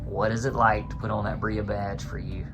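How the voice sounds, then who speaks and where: A man's voice: on the low side, little pitch movement, normal speed, music in the background. Man asking upbeat interview questions. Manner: friendly, upbeat questioning with fillers. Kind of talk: casual on-camera interview questions.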